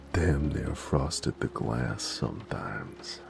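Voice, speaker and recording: A man performs a flirty, cocky mafia driver in a noir romance audio drama, American and full of teasing street slang. The voice sits extremely deep with heavy gravel and fry. Music plays clearly behind the intimate talk. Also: animated, very slow, exaggerated voice. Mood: cocky, flirtatious. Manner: teasing, slangy tough-guy drawl. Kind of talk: romantic noir audio roleplay, intimate one-sided dialogue.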